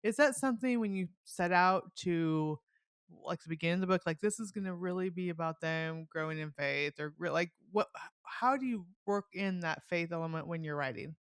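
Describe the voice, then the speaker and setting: A woman's voice: lowish voice, moderate pace, smooth, no echo. Chatty woman podcast host, dry close sound. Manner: chatty, informal, peppers talk with "like". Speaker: podcast host interviewing an author. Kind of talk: casual enthusiastic book chat.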